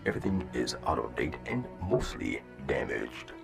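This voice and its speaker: A man acts out a formal rabbit first officer in a space audio drama. His voice is rock-bottom low with heavy creak and swings widely in pitch. He is measured and deferential to his captain. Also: big pitch swings, faint music behind, full character voice. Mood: businesslike. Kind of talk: sci-fi audio drama acting.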